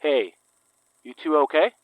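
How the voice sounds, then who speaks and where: A man's voice: fairly high pitch, lively intonation, a little slow, no room sound, phone-quality sound. A man playing a wary, level-headed detective in a horror audio drama, speaking in a blunt, understated way. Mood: concerned.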